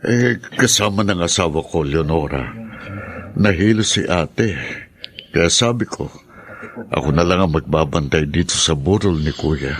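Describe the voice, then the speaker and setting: Very deep, husky, sober brother-in-law. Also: male voice, measured pace. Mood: sober, caring. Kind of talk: Filipino radio drama acting.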